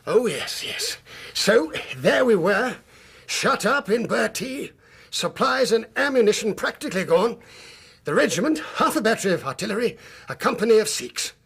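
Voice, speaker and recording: Husky, pompous English army colonel. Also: male voice, high-pitched, big pitch swings, normal speed, a bit bright, full character voice. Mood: hearty, boastful. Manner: pompous, hearty military storytelling. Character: proud, blustering older British army colonel, doting husband. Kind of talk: radio drama acting.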